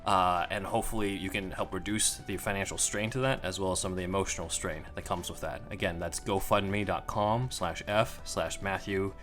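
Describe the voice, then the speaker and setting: Man, podcast host, chatty and enthusiastic, lowish voice, faint music underneath. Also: fairly even intonation, brisk, few pauses, a bit bright. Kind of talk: casual podcast chat.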